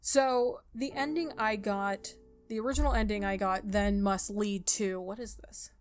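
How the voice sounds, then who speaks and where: A woman hosting a game playthrough, reading a visual novel aloud and thinking out loud in an informal, expressive way. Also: mid-range voice, unhurried. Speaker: gaming video host. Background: music.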